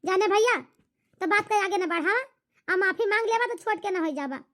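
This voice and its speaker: A woman's voice: extremely high pitch, a little fast, fairly bright tone. A woman voicing a weary older village mother-in-law in a cartoon, exasperated and pleading, with an Awadhi accent. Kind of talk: rustic cartoon comedy dialogue.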